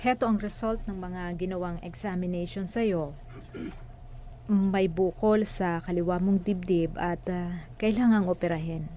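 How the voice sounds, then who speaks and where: A woman's voice: on the low side, steady tone, a little slow, mellow, close to an everyday voice. Serious female doctor, played by an actress. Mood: serious, reassuring. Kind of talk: radio drama acting.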